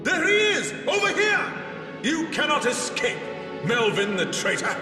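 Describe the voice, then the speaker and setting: Frantic small guard, fantasy game, high-pitched, alarmed, excitable, echoing hall, music behind. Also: female voice, a little slow. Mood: urgent shouting. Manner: excitable, shouting.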